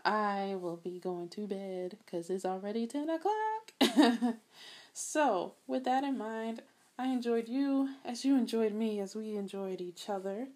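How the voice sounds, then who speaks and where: A woman hosting a solo podcast, talking casually in a soft voice, rambling a bit and chatting straight to her listeners. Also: mid-range voice, a little slow. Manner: rambling, laughs often, addresses listeners as y'all. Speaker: podcast host.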